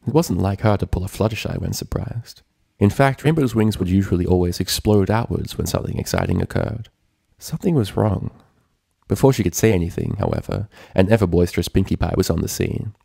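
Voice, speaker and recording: A man's voice: sits low, vocal fry, warm tone, breathy edge, no echo, clean studio sound. A man reading a story aloud as scripted narration. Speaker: fan fiction reader, narrator.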